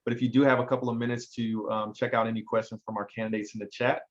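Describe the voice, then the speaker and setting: A man's voice: on the low side, flat delivery, fast talker. A man hosting and moderating a virtual event in a polite, courteous way, on slightly dull audio. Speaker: event host.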